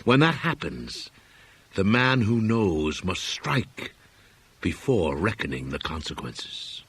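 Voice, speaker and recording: Low-pitched man reading a horror tale. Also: very animated, fairly bright tone, breathy edge. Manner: measured, solemn, deliberate storytelling. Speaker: audiobook reader. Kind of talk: scripted narration of a horror story.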